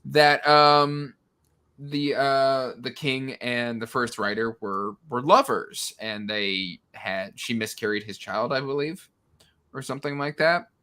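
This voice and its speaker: A man with a bright, forceful voice at an average pitch talks casually about books. He is an animated panelist who slips into mocking versions of characters' lines. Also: slow pace, smooth. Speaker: book discussion panelist. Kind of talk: casual book chat. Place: indoors on a livestream video call.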